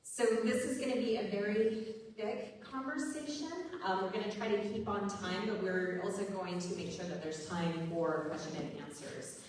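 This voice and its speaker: A woman's voice: medium pitch, normal speed. A woman moderating a conference panel, friendly and self-deprecating as she introduces the speakers. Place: conference hall stage. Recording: roomy hall, distant mic, faint noise.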